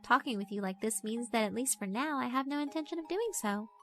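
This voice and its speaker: A woman's voice: fairly high pitch, expressive, fairly quick, soft background music, pitched above the performer's own voice. A woman voicing a mischievous, predatory girl spirit in a horror visual novel, teasing and politely condescending. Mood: calm menace. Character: mischievous, predatory yokai schoolgirl, doll-like, elegant.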